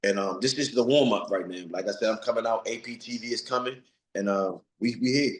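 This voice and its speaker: A man's voice: fairly low pitch, a little fast, reverberant, low-quality recording. A man of forty, a retired boxer, giving blunt, streetwise interview answers and repeating himself for emphasis. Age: 40s.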